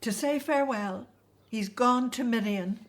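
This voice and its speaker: A woman's voice: on the high side, unhurried, close to an everyday voice. An actress playing an anxious, devout and tender elderly mother in a biblical radio drama. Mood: distraught.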